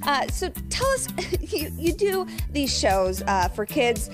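Casting: woman, thirties, morning-show field reporter, high voice over background music. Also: big pitch swings, slow. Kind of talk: live morning-show interview. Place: small cluttered classroom. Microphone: handheld microphone.

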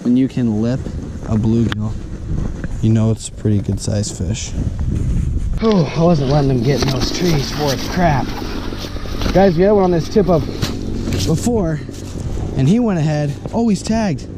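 A young man with a voice of average pitch, chatting casually to an action camera worn on his body out on a frozen lake, then erupting into loud, excited shouts. Clear wind and outdoor noise run under his voice. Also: a little slow, pauses often. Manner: excitable, bursts into loud exclamations. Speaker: ice fishing vlogger. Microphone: head- or chest-mounted action camera.

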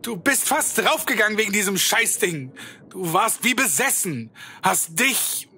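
Man playing a sulky, sharp-voiced young man. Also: high voice, expressive, unhurried, slightly rough, heavily acted. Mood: exasperated. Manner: curt, exasperated. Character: irritable, sulky young man, blunt with his friends. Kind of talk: audio drama acting.

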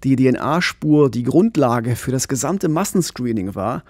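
A man in his forties co-hosting a true-crime podcast, explaining the case in a calm, measured way into a studio microphone. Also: mid-range voice, no echo. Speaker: podcast co-host. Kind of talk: scripted true-crime narration. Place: small radio studio. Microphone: studio condenser mic with pop filter, headphones.

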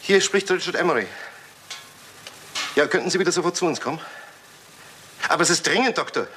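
A man's voice: a little high, animated, a little fast, bright, forceful tone. A male actor playing the brusque, impatient son of an English lord in a German radio crime drama, formal and commanding. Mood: tense, urgent. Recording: faintly noisy old radio play recording.

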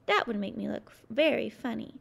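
A woman voicing a kindly, motherly female bear in a storybook, gentle and caring. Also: fairly high pitch, brisk, creaky. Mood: warm, cheerful.

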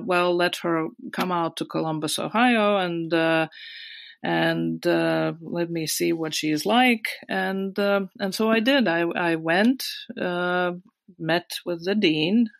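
A woman's voice: lowish voice, fairly even intonation, slow pace, gravelly, no room sound. A woman in her sixties with a Latvian accent, recalling her past in an interview, hesitant with many fillers and restarts. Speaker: guest. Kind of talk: interview recollection.

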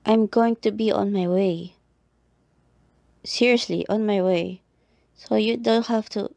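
A woman playing a reluctant, flustered tsundere girl in an ASMR roleplay, speaking haltingly in short clipped phrases. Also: mid-range voice, steady tone, vocal fry, darkish tone, dry recording. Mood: insistent.

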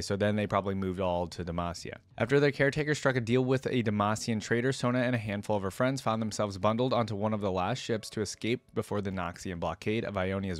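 A man's voice: lowish voice, no echo. A young man giving casual reaction commentary and reading lore aloud, close on a desk microphone. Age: young adult. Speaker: reaction video creator. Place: indoor room at a desk.

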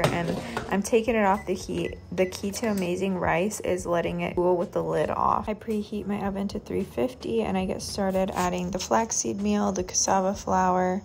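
A woman's voice: mid-range voice, creaky. A young woman narrating her cooking in a casual, matter-of-fact way, explaining each step as she goes. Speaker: lifestyle vlogger. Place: home kitchen.

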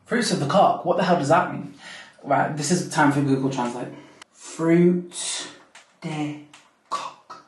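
Brief: deep-voiced woman in her twenties, gushing vlog chat, echoey room, camera set back. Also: slow pace, pauses often, recorded from a distance. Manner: chatty, gushing, giggly. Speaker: vlogger. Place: home bathroom at night, then a bright kitchen. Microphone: camera on a low stand.

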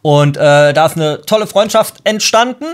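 A man in his forties hosting a casual radio show from a home music studio, chatty and lively. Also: a little high, moderate pace.

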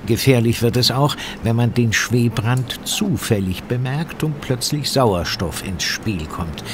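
A radio-play fire investigator, played by a man, explains in a precise, pedantic manner. His mid-pitched voice moves with animated intonation, over clearly audible background noise. He sounds sure of his expertise. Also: a little slow, close to an everyday voice. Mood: matter-of-fact, instructive. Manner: precise, lecturing, technical. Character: male fire investigator, factual, pedantic, self-assured expert. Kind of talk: German audio drama acting.

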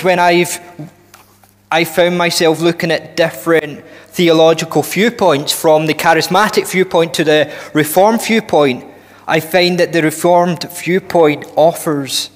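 Guy in his 30s preaching, slow and careful, fairly high voice, long hall echo. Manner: earnest, slow and deliberate with many restarts and rising emphasis. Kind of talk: sermon. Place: church hall with long reverb. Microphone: headset mic. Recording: reverberant church hall.

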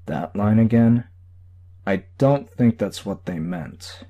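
A man's voice: on the low side, expressive, slow pace, gravelly, dull audio, heavily acted. A man voicing a secretive, matter-of-fact superhero in an audiobook. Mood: dismissive.

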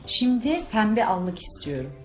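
A woman's voice: mid-range voice, big pitch swings, measured pace, reverberant, faint music behind. A woman hosting a video, chatting casually and playfully, with exclamations during a makeup challenge. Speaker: video host.

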